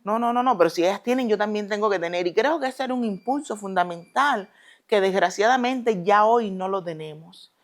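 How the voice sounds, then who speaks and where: A Cuban woman in her thirties, a former judoka, answering interview questions in a deliberate way with many hesitations, turning quick and emphatic when she speaks with pride. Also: mid-range voice. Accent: Cuban Spanish. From Cuba. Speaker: guest, retired Olympic judoka. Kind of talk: relaxed conversational interview answers.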